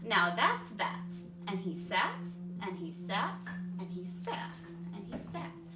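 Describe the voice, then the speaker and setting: A woman reading a children's story aloud in an expressive style. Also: lowish voice, slow. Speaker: storybook reader. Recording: echoey room, distant mic, background noise.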